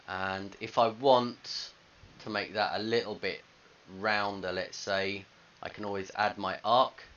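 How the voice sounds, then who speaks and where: A man's voice: lowish voice, a bit bright. A man in his thirties talking casually through a step-by-step screencast tutorial as a teacher, thinking aloud into a headset microphone. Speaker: design teacher. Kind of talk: screencast software tutorial, informal step-by-step narration. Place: indoors at a computer. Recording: dull lo-fi headset audio, faint hiss.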